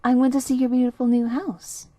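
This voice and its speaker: A woman voicing a sly male fox who puts on a soft, feigned friendly voice in a storybook. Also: high voice, little pitch movement, fairly quick, no room sound, voice pushed up, close to an everyday voice. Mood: coaxing.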